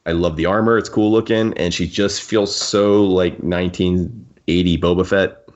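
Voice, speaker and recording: A man's voice: low voice, fairly even intonation, moderate pace, darkish tone. A man hosting a casual podcast discussion in a wry, jokey, rambling way. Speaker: podcast host. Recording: dull, lo-fi audio.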